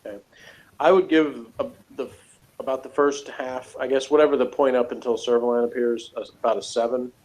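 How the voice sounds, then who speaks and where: A man in a casual review chat, talking in a halting, rambling, opinionated and critical way. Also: mid-range voice, steady tone, darkish tone, poor audio. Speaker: review show co-host.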